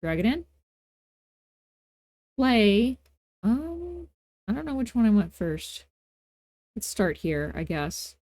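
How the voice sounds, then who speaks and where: A woman hosting a casual, unscripted software tutorial, talking in a playful, folksy way with informal grammar and self-deprecating asides. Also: fairly high pitch, sing-song, takes it slowly, long pauses, no room sound, studio quality. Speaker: tutorial host.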